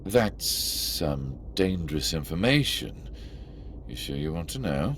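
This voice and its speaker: Sly, deep-voiced arms dealer. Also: male voice, sing-song, takes it slowly, fairly bright tone, a little hiss or hum, exaggerated voice. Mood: ominous, smug. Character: sly, cornered male arms dealer, smug and menacing. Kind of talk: video game cutscene acting. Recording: music behind the voice.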